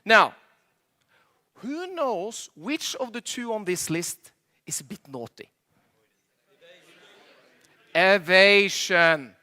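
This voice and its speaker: A man's voice: high-pitched, lively intonation, very slow, pauses often, pushed and bright. Norwegian man joking with a conference crowd. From Norway. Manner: jokey, quizzes the audience, slightly imperfect English. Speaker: conference speaker. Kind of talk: stage presentation with audience banter. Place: conference stage before a live audience.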